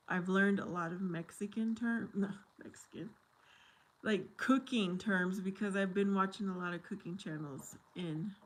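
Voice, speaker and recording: A woman chatting casually with viewers on a livestream, slipping Spanish phrases in between English. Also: mid-range voice. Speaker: livestream host.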